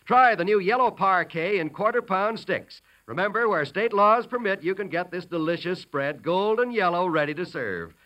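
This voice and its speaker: Bright, high-pitched vintage radio pitchman. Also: expressive, moderate pace, few pauses, lo-fi sound. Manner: polished, promotional, emphatic. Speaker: radio announcer. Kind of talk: 1940s radio commercial read.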